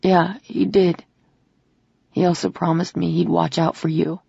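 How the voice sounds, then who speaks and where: A woman voicing a remorseful man who explains himself in a low, steady, pleading way, with a husky, gravelly voice. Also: a little slow, no room sound, muffled recording, exaggerated voice. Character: remorseful, commanding man explaining his faked death.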